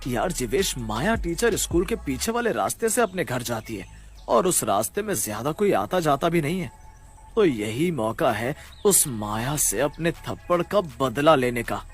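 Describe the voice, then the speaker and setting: A man voicing a cocky, spoiled high-school student in a cartoon story, taunting and swaggering. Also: sing-song, moderate pace, soft background music, natural voice. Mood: vengeful, menacing. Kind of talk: animated story character acting.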